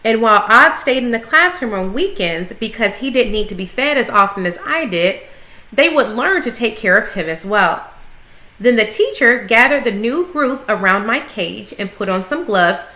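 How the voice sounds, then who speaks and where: A woman reading a children's storybook aloud with expressive, clearly phrased narration. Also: fairly high pitch, normal speed. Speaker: story reader, school coach. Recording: echoey room sound.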